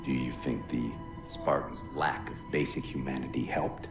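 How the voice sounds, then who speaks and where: An actor playing an accusatory interrogator in a sci-fi game cutscene, questioning in a deliberate, pointed way. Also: male voice, rumbling low, steady tone, creaky, far from the mic, lo-fi sound. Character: probing, accusatory male interrogator in a sci-fi military inquiry. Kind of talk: dramatic video game cutscene acting. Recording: echoey, off-mic, music behind.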